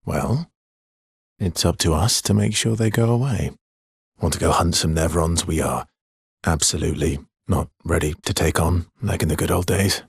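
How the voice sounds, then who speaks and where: Low, husky, wry adventurer. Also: male voice, normal speed, no room sound, full character voice. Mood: wry, casual. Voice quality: husky, breathy. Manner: dry, teasing, understated. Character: wry immortal swordsman, teasing, loyal, world-weary adventurer. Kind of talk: video game voice acting.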